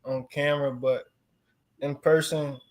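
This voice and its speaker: A young man with a Chicago accent, answering questions in a casual interview, relaxed and full of fillers like "like". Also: medium pitch, a little slow, a loud crowd. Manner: relaxed, filler-heavy, chuckles often.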